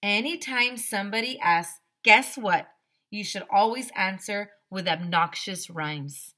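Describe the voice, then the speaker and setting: A woman reading a children's story aloud in an expressive, playful way, speaking directly to young listeners as a teacher would. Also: mid-range voice, a little slow. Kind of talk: animated children's read-aloud.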